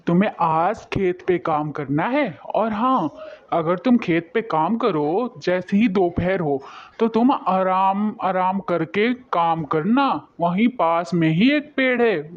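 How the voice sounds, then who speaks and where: A man's voice: high-pitched, expressive, slow, lo-fi sound. A man playing a generous, caring rich village employer in a cartoon moral story, talking in a fatherly, solicitous way. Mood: kindly instructing. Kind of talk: Hindi animated moral story dialogue.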